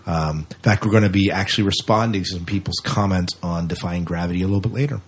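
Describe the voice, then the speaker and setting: A man's voice: deep voice, fast talker, husky. A man hosting a podcast in a casual, conversational style. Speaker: podcast host.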